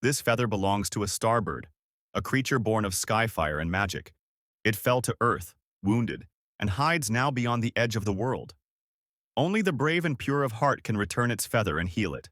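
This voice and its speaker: A man voicing an ancient woman, a calm mystical guardian of the forest, in a gentle and solemn storybook manner. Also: lowish voice, moderate pace, no room sound, clean studio sound.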